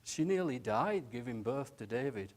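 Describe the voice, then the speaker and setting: A man voicing an elderly widowed nursing home resident who talks slowly, haltingly and tenderly, often saying "aye". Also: mid-range voice, animated, a little mellow, pitched below the performer's own voice, lightly acted. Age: older. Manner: slow, halting, chuckling, says "aye" often.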